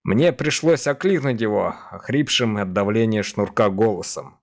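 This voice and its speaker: A man voicing an English officer who recounts his past from a diary in a measured, grave radio-play monologue. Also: lowish voice, vocal fry. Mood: tense recollection. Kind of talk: first-person dramatic monologue, radio play.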